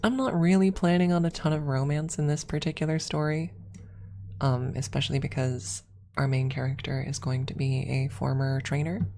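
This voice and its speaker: A woman streamer speaking softly and breathily in an ASMR style, close to the microphone, often slipping toward a whisper. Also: rumbling low, level tone, normal speed. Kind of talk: ASMR, casual chat and soft story reading.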